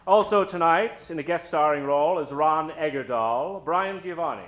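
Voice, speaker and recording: A man hosting a live old-time radio show, introducing characters from the stage in a dramatic, drawn-out storytelling style. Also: a little high, heavy background noise. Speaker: host and announcer. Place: stage before a live studio audience. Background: musical accompaniment.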